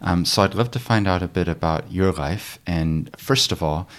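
A man's voice: sits low, normal speed. A middle-aged man hosting a conversational interview podcast.

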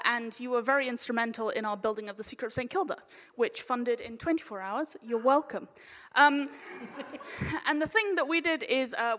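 A woman's voice: on the high side, fairly bright tone. A woman chairing a live panel informally, with a jokey, cheeky manner. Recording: echoey hall with long reverb.

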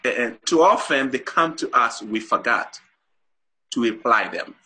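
A man's voice: medium pitch, expressive, measured pace, sharp tone, breathy edge, poor audio. A middle-aged man from Haiti, a guest author on a talk show, explains his ideas earnestly with many fillers and restarts.

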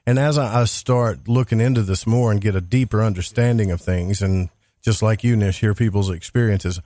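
A man hosting a talk podcast, chatting in a rambling, monotone way. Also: fairly low pitch, normal speed, no echo, clean studio sound. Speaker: podcast host. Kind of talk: casual interview chat.

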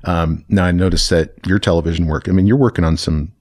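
A middle-aged man interviewing a guest in a relaxed way, rambling into his questions with fillers and repeated words. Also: low voice, fast, no room sound, studio quality.

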